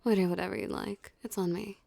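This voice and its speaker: A woman's voice: mid-range voice, fairly even intonation, warm tone, no echo. A woman playing a dominant, teasing mafia boss in an ASMR roleplay, speaking with cool, condescending flirtation. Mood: cool, flirtatious. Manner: smooth menacing flirtation, condescending, unhurried. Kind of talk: ASMR roleplay, scripted character acting.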